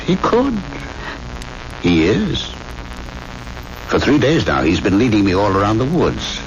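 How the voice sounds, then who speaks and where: An actor playing an insinuating military lieutenant who questions like an interrogator, in 1970s radio drama style. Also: male voice, on the low side, huge pitch swings, measured pace. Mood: pressing, menacing. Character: menacing, smoothly insinuating military lieutenant, a grown man. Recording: muffled, noisy old radio broadcast.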